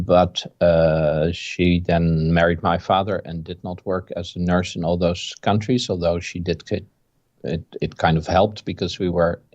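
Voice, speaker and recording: A Dutch man of about fifty speaks with a Dutch accent in a very low voice, calmly telling his story. The sound is dull and muffled, as on a video call, and dry with no room echo. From Netherlands. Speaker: interview guest, game designer. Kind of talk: casual video-call interview.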